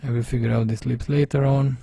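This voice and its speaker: Gravelly Hungarian-accented man teaching drawing. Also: mid-range voice, little pitch movement, brisk, mellow, dry recording. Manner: thinking aloud step by step, chatty and self-deprecating. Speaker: illustration instructor. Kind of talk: screen-recorded drawing tutorial voice-over.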